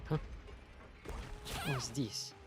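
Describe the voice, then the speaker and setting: A man's voice: drawn-out words, music in the background. A man giving casual gameplay commentary, muttering short reactions to himself as he plays. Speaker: game streamer.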